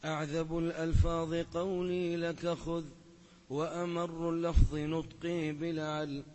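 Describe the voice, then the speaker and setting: Student voice, a man, somewhat high, reads verse in a steady even way. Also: a little slow. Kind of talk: reciting poetry aloud in class.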